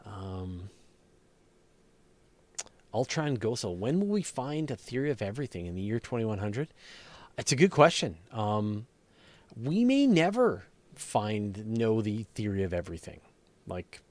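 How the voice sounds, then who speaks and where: A man's voice: lowish voice, a little mellow. A man hosting a live question-and-answer session, explaining things conversationally and thinking aloud as he answers. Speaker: host. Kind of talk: casual live question-and-answer on space and astronomy.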